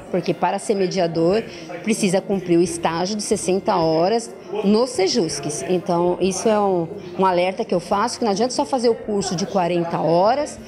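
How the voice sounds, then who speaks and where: A woman in her fifties, a mediator, answering interview questions on a handheld mic in a lecture room, earnest and advisory with hesitant fillers. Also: mid-range voice. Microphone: handheld mic, close framing.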